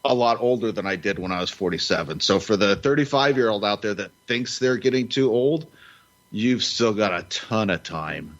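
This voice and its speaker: A man's voice: lowish voice, animated, moderate pace. A man in his fifties co-hosting a podcast, earnestly telling a personal story in casual chat. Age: 50s. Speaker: podcast co-host. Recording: dull lo-fi sound.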